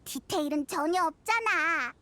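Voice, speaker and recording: A woman voicing a tiny, chatty, blunt childlike fairy companion with a squeaky voice in an anime game. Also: normal speed, dry recording, full character voice. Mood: puzzled, grumbling. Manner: expressive, grumbling, blunt. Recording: music faintly behind the voice.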